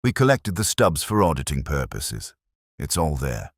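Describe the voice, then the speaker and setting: A man's voice: very deep voice, big pitch swings, moderate pace, dry recording, studio quality, heavily acted. A man voicing a helpful village vicar in a mystery story, careful and factual.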